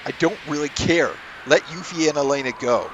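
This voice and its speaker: A man's voice: normal speed, muffled recording, noisy background, lightly acted. A man voicing a stoic young male swordsman who speaks curtly and dismissively. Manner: low, flat, dismissive.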